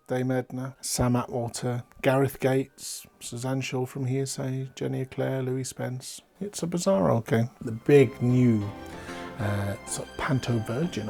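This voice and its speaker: British man, middle-aged, fairly deep voice. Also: creaky, warm tone. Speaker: pantomime writer and actor. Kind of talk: interview talk about his work.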